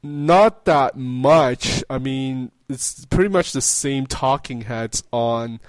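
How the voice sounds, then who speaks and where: A man in a casual political discussion as an interview guest, speaking haltingly and restarting phrases as he builds his point. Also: medium pitch, no room sound.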